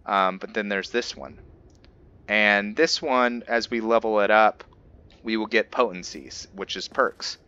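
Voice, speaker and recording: A man giving casual, halting, explanatory commentary as he hosts a gameplay video. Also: lowish voice, sharp tone, clean tone, highs cut off. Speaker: gaming video host. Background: video game ambience.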